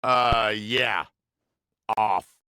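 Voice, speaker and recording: An actor playing a wary, sardonic young pro wrestler in a video game story scene, with clipped replies. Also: male voice, on the low side, extremely slow, sharp tone, smooth, dry recording, clean studio sound, heavily acted. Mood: guarded.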